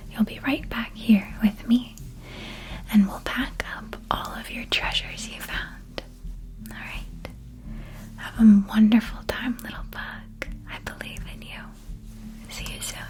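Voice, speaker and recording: A woman acts out a nurturing witch guardian in an ASMR roleplay. She whispers breathily and very slowly, soothing and tender, as if to a small child. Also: a little high.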